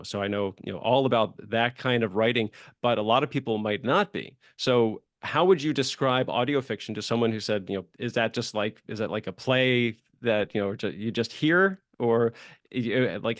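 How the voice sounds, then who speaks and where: A man's voice: medium pitch, normal speed, dry recording, clean studio sound. A man hosting an interview in a casual, chatty and rambling way, often acting out imagined quotes to make his point. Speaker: show host, interviewer.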